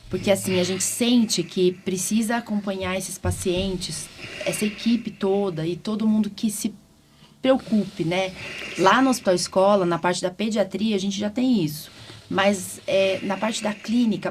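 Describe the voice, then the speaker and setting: A Brazilian woman doctor hosting a podcast, talking informally and often ending phrases with tag questions. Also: mid-range voice. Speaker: host and immunologist doctor. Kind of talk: conversational interview podcast. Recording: clearly noisy background.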